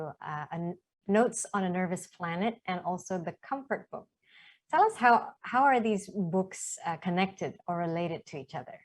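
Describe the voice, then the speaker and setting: Woman, interviewer, slightly low pitch, conversational delivery.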